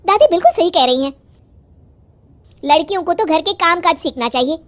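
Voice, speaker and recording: A woman's voice: very high voice, animated, a little fast, voice pushed up. A woman voicing a stern, chiding mother in an acted moral story. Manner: chiding, firm. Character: stern mother siding with grandmother against daughter.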